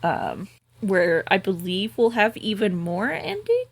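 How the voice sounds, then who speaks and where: A woman's voice: medium pitch, very animated, unhurried. A woman co-hosting a podcast who reads a gamebook aloud and chats casually, laughing often and swearing freely. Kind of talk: casual chat and reading aloud.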